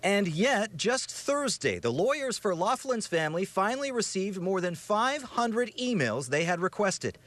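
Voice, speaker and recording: An American man reporting investigative news in a scripted, emphatic and measured broadcast delivery. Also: high voice, big pitch swings, fairly bright tone.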